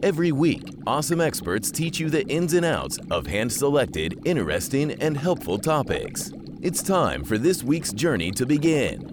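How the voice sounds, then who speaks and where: A man's voice: medium pitch, sing-song, moderate pace, few pauses. A man announcing a podcast intro in a showy, theatrical scripted style. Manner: showy, dramatic. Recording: clear watery boat ambience noise. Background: water and boat sound effects.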